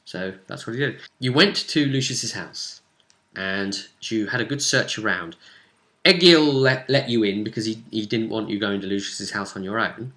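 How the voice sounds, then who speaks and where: A man's voice: fairly low pitch, lively intonation, normal speed, sharp tone. A man speaking as game master, casually recapping a tabletop roleplay session in a conversational, storytelling manner. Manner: conversational, storytelling, acts out brief character quotes.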